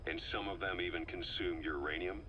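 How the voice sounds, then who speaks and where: A man playing a businesslike middle-aged military comrade in a video game, gruff and matter-of-fact, on a dull tape recording. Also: deep voice, steady tone, brisk, pushed and bright. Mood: curious, businesslike. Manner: matter-of-fact, conversational, terse. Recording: dull cassette-tape sound with hiss.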